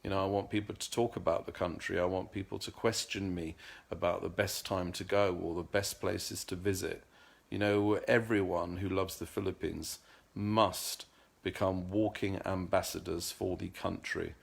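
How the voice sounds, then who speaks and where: A man's voice: low-pitched, fairly even intonation, moderate pace, gravelly, slightly rough. A middle-aged man talking earnestly in a casual vlog monologue, often repeating words as he searches for the next one. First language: English. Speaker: vlogger.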